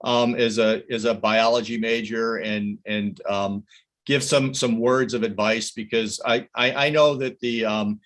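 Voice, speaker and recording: A man's voice: mid-range voice, fairly even intonation, measured pace, fairly bright tone. A man moderating an online welcome session for students, often stammering and repeating words as he hands over to each speaker. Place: video call.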